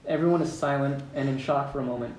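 A man's voice: mid-range voice, quick pace, a little mellow. A man reading stage directions aloud at an amateur script table read in a room at home, in a plain manner with some amusement. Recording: roomy, distant mic with background noise.

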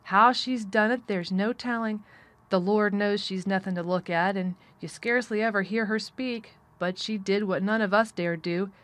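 A woman's voice: medium pitch, steady tone, moderate pace, a bit bright, close to an everyday voice. A woman voicing a deferential, gossipy housekeeper in a storybook, talking emphatically and full of amazement. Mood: amazed. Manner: emphatic, talkative, respectful.

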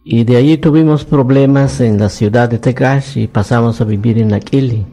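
A man in his nineties from Yucatán whose first language is Maya, speaking Spanish with a Yucatec accent as he slowly recounts his life story in a radio studio interview. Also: mid-range voice, dark tone. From Yucatán, Mexico. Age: 90s.

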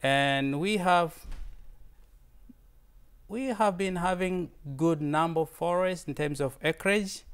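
Earnest Tanzanian man with a smooth voice. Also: fairly high pitch, slow, pauses often. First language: Swahili. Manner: earnest, repeats words and restarts often. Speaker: visiting fellow presenter. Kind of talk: informal slide presentation.

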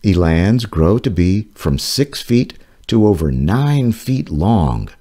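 A man reading his own children's nonfiction book aloud, slowly and carefully. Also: deep voice, very animated, dark tone. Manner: slow, careful, clearly paced reading. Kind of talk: read-aloud narration for children.

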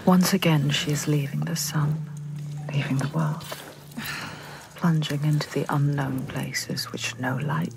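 An actress playing a grave, knowing inner voice that narrates a video game in a hushed, intimate, breathy whisper. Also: female voice, sits low, noisy background, exaggerated voice.

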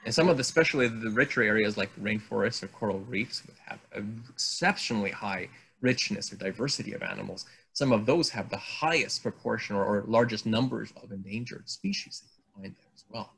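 Middle-aged man from Hungary, slightly husky, eager and halting, video call audio. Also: lowish voice, fairly bright tone, low-quality recording. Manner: enthusiastic, halting with many fillers and restarts. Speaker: guest artist. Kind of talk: casual video call interview. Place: home room.

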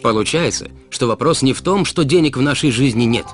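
Expressive man narrating over music. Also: medium pitch, fairly quick. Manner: didactic, rhetorical, addressing the listener. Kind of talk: scripted explainer narration. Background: background music.

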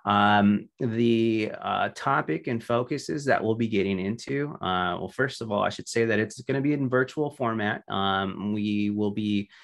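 A man's voice: lowish voice, measured pace, creaky, few pauses. A man hosting a virtual meeting, making announcements in a conversational way with many fillers, heard over a video call. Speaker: meeting host.

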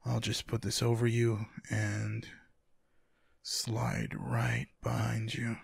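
A man playing a tender, teasing, sleepy lover in an intimate boyfriend ASMR roleplay, speaking softly and affectionately in a husky, gravelly voice. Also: on the low side, big pitch swings, no room sound, studio quality, heavily acted. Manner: soft, affectionate, unhurried. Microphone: close microphone, dry.

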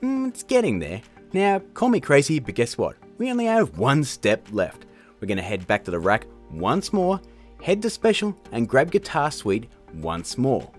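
A man hosting a casual software tutorial in a chatty, jokey way. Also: mid-range voice, huge pitch swings, moderate pace, soft background music. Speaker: tutorial host. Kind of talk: casual screen-recorded tutorial narration.

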